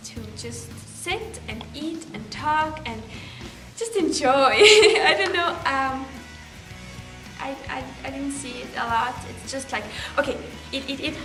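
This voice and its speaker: A woman's voice: high-pitched, lively intonation, measured pace. A teenage girl from Belarus, a native Russian speaker, answering interview questions in English with many fillers and restarts. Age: teens. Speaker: exchange student. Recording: echoey room with music behind.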